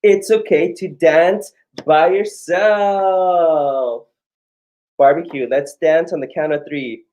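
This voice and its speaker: Slow, theatrical drag queen story reader. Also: medium pitch, a little mellow. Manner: theatrical, encouraging, drawn-out delivery. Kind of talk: children's read-aloud with playful banter.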